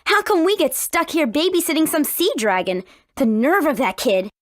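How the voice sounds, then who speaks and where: A woman's voice: high voice, big pitch swings, moderate pace, no echo, studio quality. A woman voicing a spirited, assertive teenage girl adventurer in a video game. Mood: feisty.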